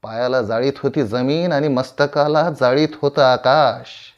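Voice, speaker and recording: A man acting a proud, aging veteran stage actor in a theatrical monologue, grandiloquent and deliberate, with dramatic pauses and repeated phrases. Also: mid-range voice, steady tone, muffled recording, lightly acted. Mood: subdued, reflective. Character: proud, aging veteran Marathi stage tragedian addressing his audience.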